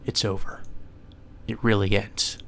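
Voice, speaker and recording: A man's voice: lowish voice, level tone, a little slow, creaky, background noise. A man reading a visual novel aloud as solemn, dramatic scripted narration. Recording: music behind the voice.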